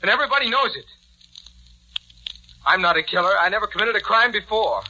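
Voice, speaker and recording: An actor playing a bitter, desperate condemned killer, intense in the manner of 1940s radio drama. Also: male voice, high-pitched, animated, brisk, bright, forceful tone, exaggerated voice. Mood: defiant pleading. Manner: pleading, vengeful, intense. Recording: faintly noisy 1940s radio recording.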